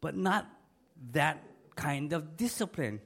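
A man's voice: fairly high pitch, animated, slow, creaky, a bit bright. A middle-aged male pastor preaching a sermon in a church. He is losing his voice from a cold and talks emphatically, repeating key words and asking the congregation for an amen. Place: church sanctuary.

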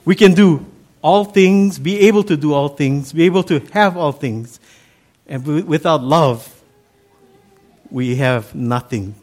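A middle-aged man from Hawaii with a Hawaiian accent, Pidgin his first language, preaching a sermon in a relaxed, chatty, folksy way. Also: fairly high pitch, unhurried. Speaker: pastor.

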